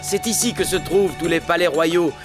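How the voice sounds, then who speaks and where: A man playing an earnest, encouraging guide who explains and proclaims things in a French animated series dub. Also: on the high side, brisk, music in the background, lightly acted. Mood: proudly presenting. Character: earnest male mountain guide, encouraging and informative.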